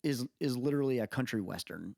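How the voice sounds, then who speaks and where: A man's voice: mid-range voice, expressive, quick pace, gravelly, darkish tone, no room sound. Male podcast co-host, mid-range voice, loose rambling opinion talk, dry recording. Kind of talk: casual podcast chat, opinionated music commentary.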